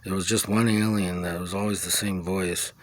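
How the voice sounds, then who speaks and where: A man with a low voice and very little melody delivers a personal monologue for his video channel. He rambles and halts, often searching for the next word. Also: fairly even intonation. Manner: halting, searching for words. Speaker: video creator recounting own experience.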